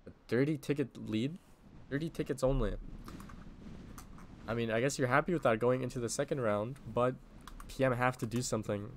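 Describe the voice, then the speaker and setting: A man's voice: mid-range voice, moderate pace, long pauses, a little hiss or hum. A man with a sick, strained voice casually commentating a livestreamed game match in an informal, rambling, sweary way. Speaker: esports commentator, livestream host.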